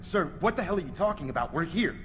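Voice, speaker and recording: An actor playing an earnest, urgent marine in a game cutscene, making clipped radio reports. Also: male voice, fairly high pitch, expressive, quick pace, very noisy, lightly acted. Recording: long hall reverb under loud music.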